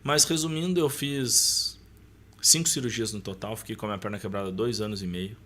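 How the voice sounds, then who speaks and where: Southern brazilian guy on a podcast, deepish voice, chatty storytelling, some noise behind him. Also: brisk, pushed and bright. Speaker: podcast guest. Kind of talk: casual personal storytelling.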